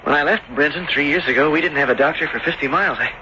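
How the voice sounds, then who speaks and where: Young seafaring man, slightly high voice, earnest, hesitant, polite, radio drama, noisy dull recording. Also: very fast, bright, forceful tone. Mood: polite, puzzled. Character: earnest, adventurous young seafaring farmer's son. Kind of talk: old-time radio drama acting.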